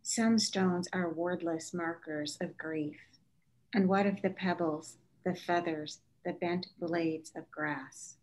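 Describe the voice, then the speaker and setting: A woman's voice: on the low side, fairly even intonation, takes it slowly, a little mellow, low-quality recording. A woman giving a scripted reading of literary prose. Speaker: reader.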